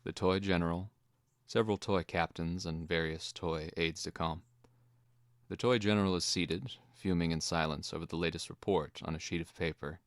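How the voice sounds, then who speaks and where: A man narrating a screenplay as a scripted dramatic reading, in a measured way. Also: deep voice, steady tone, dry recording, studio quality.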